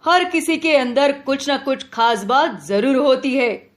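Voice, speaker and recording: A woman voicing a stern but fair lion king in a children's moral story, speaking firmly and deliberately. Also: high voice, animated. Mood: admonishing. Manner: firm, admonishing, deliberate.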